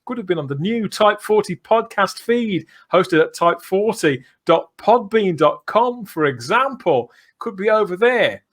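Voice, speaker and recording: A man's voice: sits high, animated, a little slow, hardly any pauses, a bit bright. A man hosting a podcast, chatting enthusiastically with effusive, theatrical turns of phrase. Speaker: podcast host. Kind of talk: enthusiastic podcast chat and sign-off.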